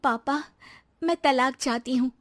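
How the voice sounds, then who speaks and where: Woman acting as dutiful young wife, high-pitched, earnest, respectful, determined, storybook drama. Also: a little slow. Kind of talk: dramatized Hindi moral storytelling.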